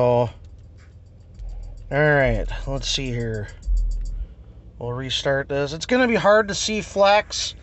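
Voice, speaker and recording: A man's voice: a little high, lo-fi sound. A man giving a casual, informal walkthrough as a car audio hobbyist, self-deprecating and a little coarse, recorded on a handheld phone. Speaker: car audio hobbyist showing his system. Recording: noisy phone recording around vehicle.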